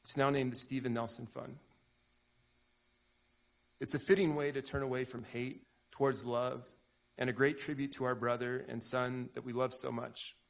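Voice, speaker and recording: A man reading the part of a grieving brother giving a measured, solemn impact statement in court. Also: mid-range voice, flat delivery, gravelly, close to an everyday voice, rough recording. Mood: solemn, grateful. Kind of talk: staged reading of a prepared statement.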